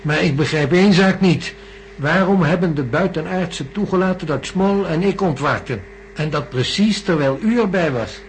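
An actor playing a professor in a radio drama, asking formal, probing questions. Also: male voice, fairly high pitch, moderate pace, a little hiss or hum, natural voice, poor audio. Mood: skeptical. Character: skeptical, questioning male professor.

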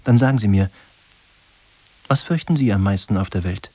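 An actor playing an enigmatic, self-assured double in a radio drama, husky, with a superior, theatrical manner. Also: male voice, fairly low pitch, normal speed, lightly acted, poor audio. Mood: probing. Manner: theatrical, superior, emphatic with hushed drops. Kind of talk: German radio drama acting.

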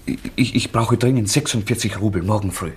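An actor in a radio drama playing a feverish, obsessive young former student, halting and repeating himself with intensity. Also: male voice, lowish voice, darkish tone, natural voice. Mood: anxious, urgent. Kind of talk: German radio drama acting.

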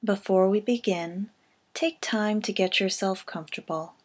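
A woman reading a scripted guided body scan meditation in a calm, gentle, measured way, softening at the ends of phrases. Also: mid-range voice, breathy edge.

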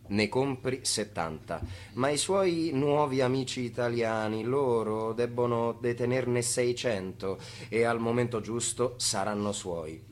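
A man acting the narrator of a radio drama. His voice is fairly low, and he explains things step by step to the listener in a wry, didactic way. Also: lightly acted. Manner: didactic, addressing the listener directly. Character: wry, instructive male storyteller of a swindle.

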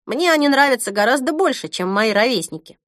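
A woman's voice: sits high, expressive, a little fast, a bit bright, no echo, clean studio sound, pitched above the performer's own voice. A woman voicing a blunt, joking young woman who works in a care home, in a storybook reading. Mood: candid. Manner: teasing, blunt.

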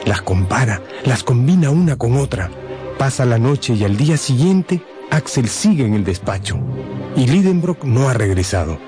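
A man's voice: medium pitch, big pitch swings, normal speed, music in the background. A man narrating a scripted radio drama as a dramatic storyteller.

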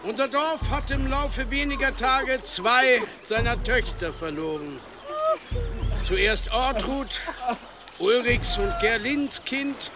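An actor playing a solemn village elder giving a measured, ceremonial funeral speech in a radio drama. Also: male voice, very high voice. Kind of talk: German radio drama oratory.

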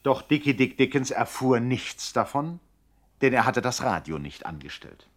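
A man's voice: mid-range voice, very animated, normal speed. A man narrating a scripted 1960s radio comedy in a wry storytelling manner. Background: instrumental music.

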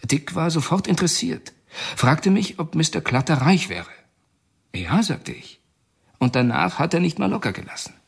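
A man's voice: a little high, sing-song, normal speed, creaky, slightly rough, exaggerated voice. An actor playing a male prison informant in a radio drama, speaking in a confessional, uneasy manner.

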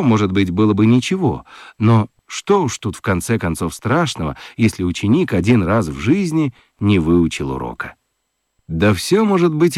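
Low-voiced, expressive man narrating a story. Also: no room sound, clean studio sound. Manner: expressive storytelling with dramatic pauses. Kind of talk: scripted children's story narration in radio play.

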